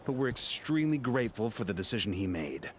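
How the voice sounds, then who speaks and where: A man's voice: medium pitch, sing-song, quick pace. A man voicing an earnest, gracious young man in a video game. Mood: earnest, upbeat. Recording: game audio under clear music.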